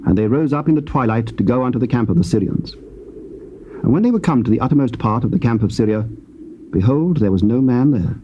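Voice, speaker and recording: A man narrating scripture in a measured, solemn reading. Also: medium pitch, lively intonation, highs cut off, slight background noise.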